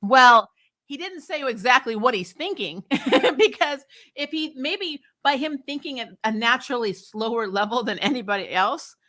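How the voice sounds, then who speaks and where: Bright-voiced, sarcastic woman chatting bluntly. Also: fairly high pitch, moderate pace. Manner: animated, sarcastic, exasperated, blunt; casual swearing. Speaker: host of a TV show review channel. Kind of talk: casual spoiler recap chat, reading and answering viewer comments.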